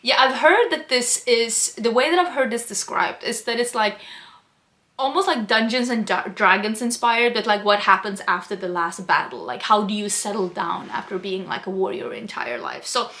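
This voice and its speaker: Bright, chatty woman. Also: medium pitch, expressive, moderate pace. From Sweden. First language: Swedish. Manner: chatty, upbeat, lots of "like" fillers. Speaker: book vlogger. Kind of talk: casual book chat to camera.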